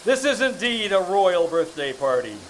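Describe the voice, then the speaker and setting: Man playing a high-pitched, lively, courtly prince. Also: moderate pace. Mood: gracious. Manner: courtly, declamatory delivery. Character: gracious, hearty young prince hosting a party. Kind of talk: old-time radio drama acting. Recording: hissy live theatre stage recording.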